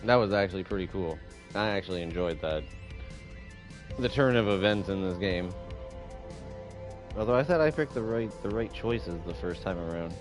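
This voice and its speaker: A man's voice: deep voice, expressive, normal speed, pauses often. A man streaming a game with casual, musing commentary, often repeating himself. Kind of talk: casual livestream commentary, reading game dialogue aloud. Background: music.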